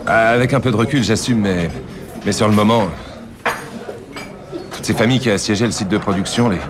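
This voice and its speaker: Man acting a low-voiced, stammering businessman. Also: noisy background, natural voice. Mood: earnest, halting. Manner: stammering, halting, repeating words. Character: hesitant, polite, anxious businessman eager to please. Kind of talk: radio drama acting. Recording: busy chatter of a crowded bar.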